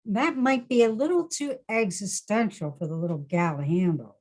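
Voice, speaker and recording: A woman's voice: mid-range voice, moderate pace, darkish tone, lightly acted. A woman playing a scheming friend with a dry wit in a drama table read. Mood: wry.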